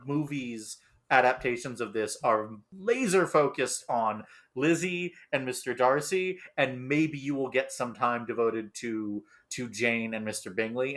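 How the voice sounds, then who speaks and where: A man's voice: medium pitch, fairly bright tone. A man speaking as a podcast panelist, analysing casually and repeating words as he builds his points.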